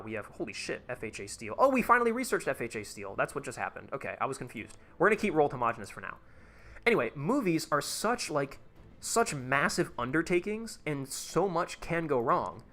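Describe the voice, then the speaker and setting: Bright-voiced male game streamer. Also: mid-range voice, very animated, fairly quick. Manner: rambling, profane, talks to chat while playing. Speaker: streamer playing a tycoon game. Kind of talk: casual live-stream chat.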